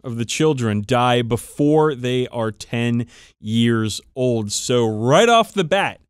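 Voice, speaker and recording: A man's voice: mid-range voice, expressive, slow, smooth, dry recording, studio quality. A man co-hosting a podcast, chatting casually and enthusiastically about tabletop game lore with plenty of swearing. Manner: profane, enthusiastic, informal. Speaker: podcast co-host.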